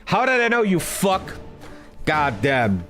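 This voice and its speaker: Loud swearing man with wildly jumping pitch. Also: huge pitch swings, slow. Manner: loud, swearing, jumpy rants to chat. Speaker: streamer. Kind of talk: live game stream commentary. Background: game music.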